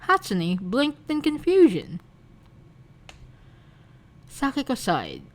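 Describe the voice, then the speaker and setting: A woman narrating a fan fiction story in a soft, unhurried way. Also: high voice, huge pitch swings.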